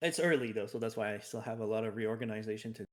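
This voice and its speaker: A man's voice: fairly low pitch, fast talker. A man from Quebec with a Québécois French accent, French his first language, chatting casually as a project manager and joking often. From Quebec, Canada. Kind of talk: casual chat.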